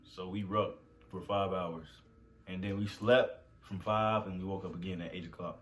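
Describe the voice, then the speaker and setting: A man's voice: low voice, fairly even intonation, moderate pace, fairly bright tone. A young man co-hosting a vlog, talking about basic training in a laid-back, profane, matter-of-fact way. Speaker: vlog co-host, army veteran. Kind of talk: casual conversational chat.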